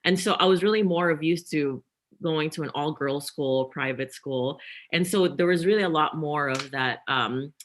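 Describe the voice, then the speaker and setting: A woman's voice: deep voice, normal speed. A woman from the Philippines, answering interview questions at length with many fillers. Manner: thoughtful, many fillers and restarts. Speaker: guest author.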